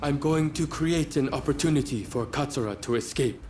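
An actor playing a wise, self-sacrificing elder samurai master in a video game, speaking formally and deliberately. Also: male voice, mid-range voice, over music, close to an everyday voice. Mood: grave, resolved. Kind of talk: video game cutscene voice acting.

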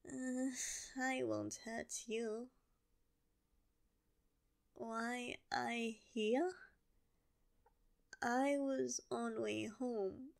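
Girl doing a drowsy zombie girl w/ a gravelly croaky voice, real slow, broken little words, kinda high. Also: heavily acted. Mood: sleepy. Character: sleepy friendly female zombie girl, broken speech. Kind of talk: ASMR roleplay.

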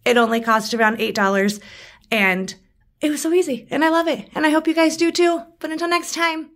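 Chatty, hoarse woman crafting at home. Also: high voice, fairly even intonation, fairly quick, fairly bright tone. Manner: chatty, self-deprecating, giggly. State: hoarse, froggy voice. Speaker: craft vlogger. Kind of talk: casual DIY tutorial narration. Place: living room, working at a coffee table.

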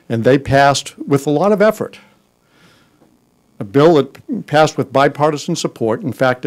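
A man's voice: mid-range voice, darkish tone. A man in his sixties with a Boston accent, speaking at a podium in a conference hall, introducing speakers with loose jokes, fillers and restarts. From Boston area, US. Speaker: board member introducing speakers. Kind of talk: informal podium speech. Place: large conference hall, indoors. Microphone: podium microphone.

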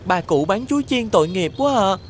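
A woman's voice: mid-range voice, lively intonation, a little fast, a little hiss or hum, natural voice. A woman voicing a kind-hearted, polite little boy in a children's cartoon, with a southern Vietnamese accent. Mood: concerned. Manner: polite, earnest.

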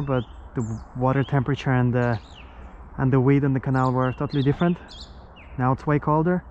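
A man's voice: medium pitch, a little mellow, lo-fi sound, slight background noise. Man narrating a lure-making tutorial. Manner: upbeat, talks to viewers outdoors. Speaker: fishing channel host. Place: canal towpath outdoors.

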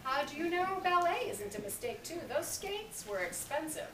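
A woman's voice: extremely high pitch, sing-song, moderate pace, voice pushed up. A woman voicing a practical, doubting American mother in a children's storybook reading. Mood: skeptical. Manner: dry, doubting. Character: mother, skeptical, dry, practical grown woman. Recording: echoey, noisy room, distant camera mic.

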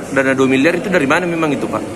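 A man's voice: mid-range voice, animated, very fast, background noise. A man, a reporter, putting short pointed questions to an official. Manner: short prompting questions and acknowledgements. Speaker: journalist. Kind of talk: press questions. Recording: echoey hall, chattering crowd.

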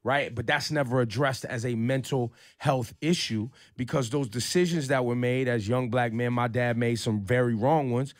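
A man's voice: medium pitch, moderate pace, hardly any pauses, no room sound. A man in his forties from California hosting a radio studio discussion, emphatic and full of rhetorical questions. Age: 40s. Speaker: radio host. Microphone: studio mic on boom, headphones.

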